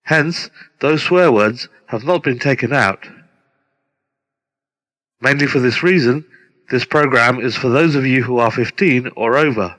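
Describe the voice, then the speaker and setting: A middle-aged man reading a scripted introduction as a presenter, deliberate and formal. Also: mid-range voice, a bit bright. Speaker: presenter introducing his own radio play. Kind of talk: scripted narration. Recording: long hall-like reverb.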